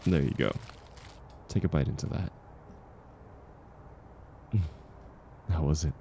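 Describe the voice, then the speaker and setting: Man acting out gentle caring man, mellow dark tone, gravelly fry, reassuring, unhurried, intimate audio roleplay, faint background noise. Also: very deep voice, full character voice. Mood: caring, encouraging. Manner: soft-spoken, reassuring, unhurried. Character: kind, gentle man, once homeless, sharing dinner with a stranger.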